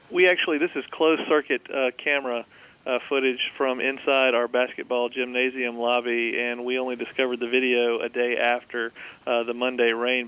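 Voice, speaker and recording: A man interviewed live over a muffled, band-limited phone line. His voice is smooth and clear with very little pitch movement, and he explains things in a measured, halting way. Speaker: head of school, phone interviewee. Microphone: telephone.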